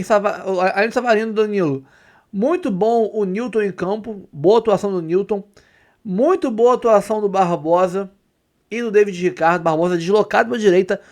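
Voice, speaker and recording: A man's voice: high-pitched, a little mellow. A Brazilian man hosting a football fan channel, talking to viewers with enthusiasm and emphasis. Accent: Brazilian Portuguese. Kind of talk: excited football commentary to viewers.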